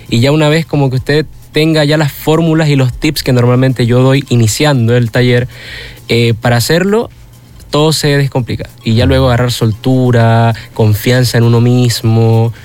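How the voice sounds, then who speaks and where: A man's voice: medium pitch, fairly even intonation, normal speed, a little mellow. A young Venezuelan man with a Venezuelan Spanish accent, a freestyle rapper, answering interview questions in an earnest, explanatory way. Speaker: freestyle rapper and workshop teacher.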